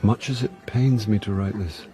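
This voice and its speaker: A man acting as a world-weary British jobbing actor in middle age, with a lowish voice. He is dry and sardonic, forever undercutting himself, in the manner of a radio mystery's narrator-hero. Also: steady tone, normal speed, mellow, faint music behind, lightly acted. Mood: wry narration. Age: middle-aged. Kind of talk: radio drama acting, with first-person narration.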